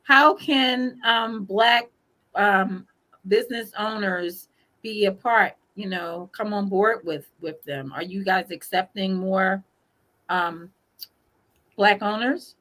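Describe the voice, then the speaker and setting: Bright-voiced woman co-host giving advice. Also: medium pitch, takes it slowly, poor audio. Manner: encouraging, advice-giving, many fillers. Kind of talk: casual chat.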